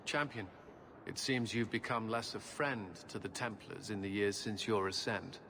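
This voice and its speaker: An actor playing a grave, conflicted knight officer of a holy order, speaking formally in a fantasy game. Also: male voice, fairly low pitch, steady tone, moderate pace, creaky, sharp tone. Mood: solemn, troubled. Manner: formal, solemn. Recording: faint background noise.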